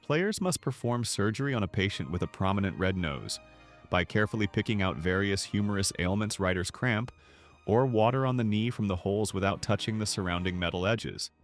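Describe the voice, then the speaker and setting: A man reading a scripted, nostalgic documentary narration in a measured, polished way. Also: fairly low pitch, expressive. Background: background music.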